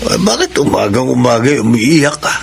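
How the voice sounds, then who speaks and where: Gravelly old Filipino grandfather. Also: mid-range voice, big pitch swings, moderate pace. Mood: concerned. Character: concerned, indignant elderly grandfather. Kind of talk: radio drama acting. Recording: noisy ambient radio drama scene.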